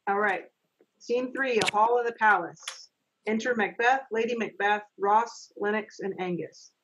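A woman's voice: medium pitch, a little slow, lo-fi sound, a little hiss or hum. A middle-aged woman, reading stage directions as narrator of an amateur readers' theater over a video call. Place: home office on a video call.

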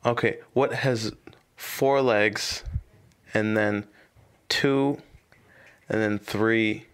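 Slightly low-voiced, unsure man. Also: steady tone, drawn-out words, clean tone. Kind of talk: casual, unscripted vox pop.

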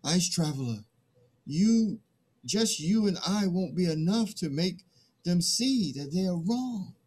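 A man playing a weary, despairing human in a play reading, halting and anguished. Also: high voice, lively intonation, darkish tone, slightly rough, full character voice. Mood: weary, doubtful. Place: video call, virtual background.

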